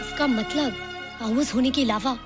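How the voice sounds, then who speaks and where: A woman's voice: high voice, normal speed, natural voice. An actress playing a kind, thoughtful young woman in a Hindi film, with faint music behind her. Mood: earnest, curious.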